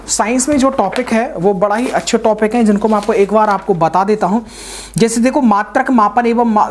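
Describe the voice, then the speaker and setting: A woman's voice: medium pitch, a little mellow. A woman giving informal exam coaching, speaking directly to students and repeating points for emphasis. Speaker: exam-prep teacher.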